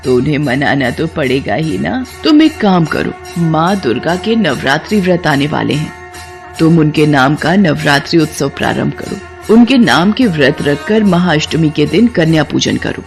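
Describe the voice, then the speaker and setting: A woman's voice: sits low, expressive, moderate pace, faint music behind. Woman acting a kindly old village woman, soothing. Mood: gentle advising. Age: older. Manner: soothing, counselling.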